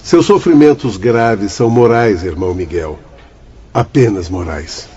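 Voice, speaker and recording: A man with a Brazilian accent playing a calm, benevolent, authoritative spirit doctor in a radio drama, formal in manner. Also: fairly low pitch, unhurried, husky, dull audio. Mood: authoritative, reassuring.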